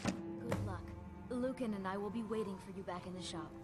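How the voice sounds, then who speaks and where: A woman's voice: mid-range voice, normal speed, natural voice. An actress voicing a proud, scornful young village woman in a fantasy video game, with a haughty manner. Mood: scornful, indignant. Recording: game dialogue under clear music.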